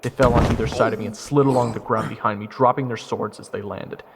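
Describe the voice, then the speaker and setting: Man as young soldier narrator, low creaky voice, wry, self-deprecating, music underneath. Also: steady tone, fast talker, noisy background, lightly acted. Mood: wry, tense. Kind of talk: first-person fantasy story narration.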